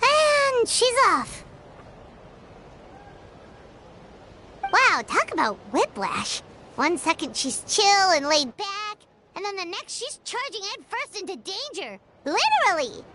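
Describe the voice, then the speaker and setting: Squeaky, childlike fairy sidekick. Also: female voice, big pitch swings, a little slow, background noise, heavily acted. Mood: amazed, teasing. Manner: refers to self in third person, exclamatory. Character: small childlike floating fairy companion, perky and cheeky. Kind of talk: anime video game voice acting. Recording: music behind the voice.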